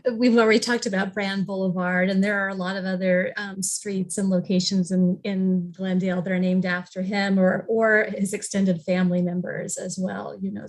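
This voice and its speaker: Creaky-voiced woman on a panel. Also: medium pitch, poor audio. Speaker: local history panelist. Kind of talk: conversational explanation.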